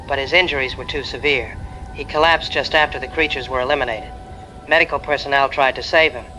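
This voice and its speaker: A male actor playing a shaken eyewitness calling a radio show, dramatic, halting and emotional. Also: medium pitch, sharp tone, close to an everyday voice, poor audio. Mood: grieving. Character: shaken eyewitness caller from a fire crew team. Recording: clear background noise.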